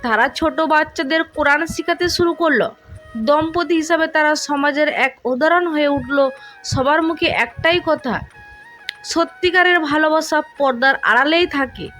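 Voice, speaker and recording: Female storyteller, very high voice, scripted romantic narration with soft music behind. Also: moderate pace.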